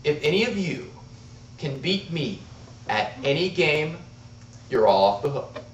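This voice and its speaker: A smug devil, as a male storyteller puts him on, lord of evil in a tall tale, makes his bargains. He is grand and taunting, with lively swings of pitch. The sound is muffled and echoes in the room. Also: measured pace, slight background noise. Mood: sly offer. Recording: roomy, distant, muffled club-room recording.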